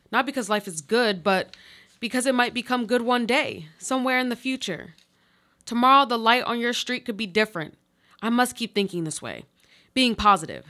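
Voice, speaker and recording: A woman's voice: a little high, sharp tone. A woman acting a haunted, watchful woman in a radio play, with intense, dramatic delivery. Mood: desperate, determined. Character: haunted, watchful woman clinging to existence through words. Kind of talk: live radio play monologue.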